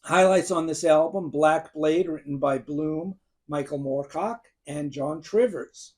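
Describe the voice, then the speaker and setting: A man in his fifties with a gruff, worn voice, enthusiastically ranking albums and listing songs to the camera. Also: fairly high pitch, unhurried, a little mellow. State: gruff, strained voice. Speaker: music video host ranking albums. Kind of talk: casual talk to camera.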